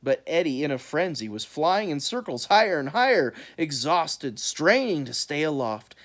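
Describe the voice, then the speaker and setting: A woman reading a children's book aloud in an animated, dramatic storytelling style. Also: low voice, normal speed. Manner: dramatic, expressive delivery.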